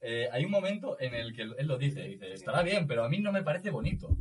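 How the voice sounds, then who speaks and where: A man's voice: medium pitch, very animated, fast, a little hiss or hum. A man with a Spanish accent hosting a casual radio chat. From Spain, born in Catalonia. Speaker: radio show host.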